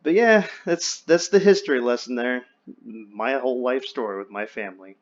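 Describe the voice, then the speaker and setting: A man's voice: mid-range voice, moderate pace. Dull, lo-fi young man chatting candidly. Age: young adult. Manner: candid, wry, slangy and profane. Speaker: friend telling his life story. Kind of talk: casual chat, personal storytelling. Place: online VR voice chat. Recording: dull lo-fi voice chat.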